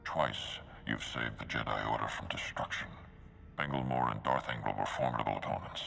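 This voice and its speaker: An actor playing a commanding, idealistic Jedi master with a deep, gravelly voice in a video game. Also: male voice, a little fast, sharp tone, in a large hall, heavily acted. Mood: confident, grand. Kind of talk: video game cutscene acting.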